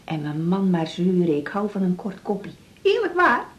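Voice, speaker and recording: A woman's voice: on the low side, wildly swooping pitch, moderate pace, dull audio. An actress playing a lonely, plain-spoken older woman who is a hospital patient in a radio drama. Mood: motherly, cheeky. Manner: plain-spoken, wheedling, folksy. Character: chatty, lonely, frail but cheeky older female hospital patient. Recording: roomy, echoing radio drama studio.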